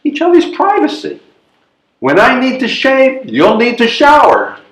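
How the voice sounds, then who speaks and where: A man's voice: very high-pitched, wildly swooping pitch, a little slow, a bit bright, full character voice. A man playing a hesitant, fretful elderly widower in a readers theatre comedy, protesting and questioning. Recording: echoey live room recording.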